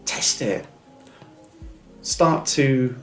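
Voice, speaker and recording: A middle-aged business advisor who stammers, giving a measured, thoughtful interview answer with small blocks and repeats. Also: male voice, mid-range voice, fairly bright tone, echoey room, faint music behind.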